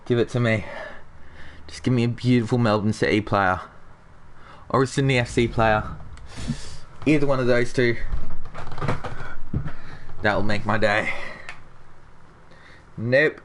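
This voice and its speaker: A man's voice: medium pitch, measured pace, long pauses, slight background noise. A young man with a slightly sick, nasal voice, chatting casually over gameplay as a channel host and talking to viewers. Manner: chatty, talks to viewers and chat.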